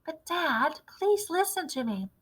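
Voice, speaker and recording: A woman's voice: extremely high pitch, big pitch swings, unhurried, fairly bright tone, pitched above the performer's own voice, exaggerated voice. A woman voicing a sensible, hesitant young farm boy in a storybook, questioning and pleading as he tries to object. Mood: pleading, worried. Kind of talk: storybook character read-aloud.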